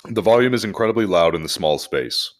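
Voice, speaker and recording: Male podcast host, deep register, casual and joking, comfortable with profanity. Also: expressive, quick pace. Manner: profane, jokey. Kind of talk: casual podcast chat and animated story reading.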